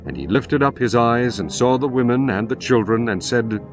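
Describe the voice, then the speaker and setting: A man narrating scripture in a solemn, measured way over music. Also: mid-range voice, expressive. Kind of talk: dramatized scripture reading.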